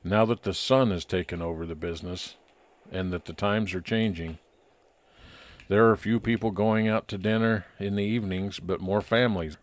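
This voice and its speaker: A man playing a friendly, observant private investigator, narrating an old-time radio detective story in a measured way. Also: lowish voice, fairly even intonation, close to an everyday voice. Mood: measured, scene-setting. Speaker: narrator and lead actor.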